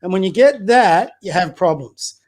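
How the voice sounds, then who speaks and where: A man with a high-pitched voice, a community basketball coach giving blunt, opinionated views as a podcast guest. He fires off rhetorical questions and often stumbles and restarts. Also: lively intonation, moderate pace, darkish tone. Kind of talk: casual political chat.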